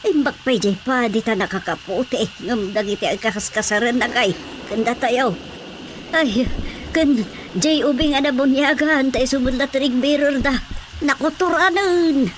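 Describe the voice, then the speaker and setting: High-voiced, fretful Ilocano mother. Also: measured pace, noisy background. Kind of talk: Ilocano radio drama comedy acting. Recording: loud crowd sounds behind.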